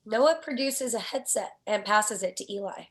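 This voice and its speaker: A woman's voice: medium pitch, normal speed. A woman narrating a screenplay's stage directions in a scripted read.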